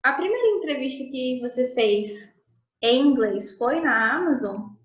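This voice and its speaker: A woman's voice: high-pitched, lively intonation, unhurried. A woman interviewing a guest in an informal, conversational way. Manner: informal, uses "tu" forms. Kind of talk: casual interview questions. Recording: echoey room, muffled audio.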